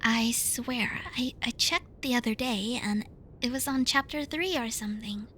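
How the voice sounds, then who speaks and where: A woman acting a high-pitched, bratty tsundere schoolgirl. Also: sharp tone, a little hiss or hum. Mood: halting, defensive. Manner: halting, pouty, defensive, stumbles over words. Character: bratty tsundere young female student, flustered, teasing and bossy. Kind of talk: ASMR roleplay addressed to the listener.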